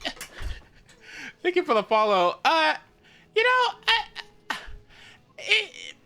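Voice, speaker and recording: A man chatting casually as he streams a video game, often leaping into high, squeaky exclamations. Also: wildly swooping pitch, takes it slowly, vocal fry, bright, forceful tone, slight background noise. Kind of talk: casual gaming stream chat.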